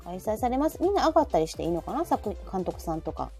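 A woman with a Kansai accent hosting a casual chat, expressive and quick to chime in with backchannels. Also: mid-range voice, faint music behind. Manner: expressive, reacting with frequent backchannels. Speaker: host.

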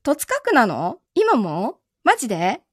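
A woman's voice: fairly high pitch, huge pitch swings, unhurried, husky, no room sound, clean studio sound. A woman chatting casually on a live stream as its host, often laughing. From Yokohama area, Japan. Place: indoors in a sound-absorbing foam-lined booth.

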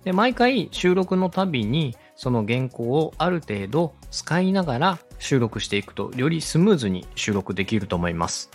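A man's voice: mid-range voice, very animated, normal speed, hardly any pauses, breathy edge, faint music behind. A man hosting an instructional podcast, explaining things politely and step by step to a co-host. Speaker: host, radio director teaching podcast production.

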